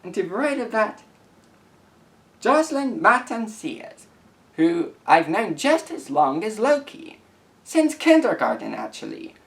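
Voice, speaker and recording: A young man in his late teens speaking as a video creator in a casual vlog, reading messages aloud in an earnest, careful, halting way. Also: high-pitched, big pitch swings, vocal fry, fairly bright tone.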